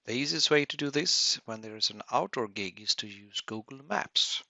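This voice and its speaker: A man presenting a technical webinar tutorial as an instructor, explaining step by step in a halting way. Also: mid-range voice, expressive, pushed and bright, dry recording, lo-fi sound. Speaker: audio engineering instructor.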